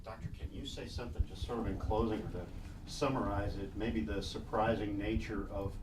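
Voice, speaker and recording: A man asking brisk questions as a reporter at a press conference. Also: lowish voice, fairly even intonation. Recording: distant roomy mic with noise.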